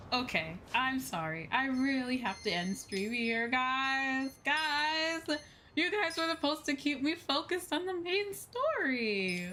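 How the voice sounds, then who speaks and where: A woman hosting a casual game livestream, chatting playfully with drawn-out exclamations and mock scolding. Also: high voice, fairly bright tone, a little hiss or hum. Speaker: livestream host, VTuber. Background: video game music.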